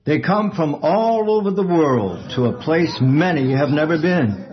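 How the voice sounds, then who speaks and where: A male reporter narrates a news feature in a steady, earnest way. His voice sits a little high. The audio is dull and muffled. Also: a little slow. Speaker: television reporter. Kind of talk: scripted news narration and interviews.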